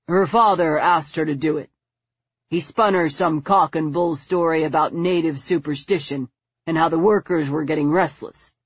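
A woman's voice: deep voice, steady tone, normal speed, breathy edge, dry recording, muffled recording. A woman voicing an earnest, grimly resolute boy in a storybook, speaking emphatically. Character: earnest, grim, exasperated boy loyal to his friend.

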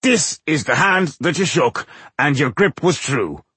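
Rasping, cocky, boozy dwarf. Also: male voice, fairly high pitch, lively intonation, unhurried, pushed and bright, no echo, voice pushed up, exaggerated voice. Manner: boastful, breezy, impudent. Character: brash, boozy male dwarf mason, cheeky trickster.

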